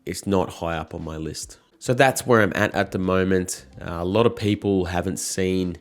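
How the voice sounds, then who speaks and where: A man in his thirties, croaky from a cold, chatting casually and frankly to camera as a motorcycle vlogger. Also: low-pitched, normal speed, faint music behind.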